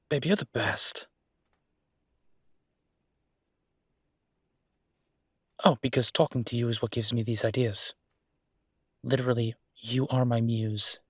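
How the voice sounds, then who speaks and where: A man playing an easygoing, affectionate restaurant owner in a roleplay audio drama, chatting casually as if thinking out loud to his partner. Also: mid-range voice, husky, no room sound, clean studio sound, natural voice. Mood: tender. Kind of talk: boyfriend roleplay audio drama, one-sided conversation.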